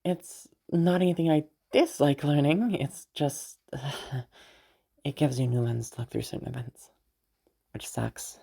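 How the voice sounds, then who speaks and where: Young noblewoman for audio roleplay played by a woman: very deep for a female voice, gravelly with heavy fry, fond, teasing, hesitant. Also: heavily acted. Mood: flustered, admiring. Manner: hesitant, halting, with soft chuckles and sighs. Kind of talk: roleplay audio drama, intimate conversational acting.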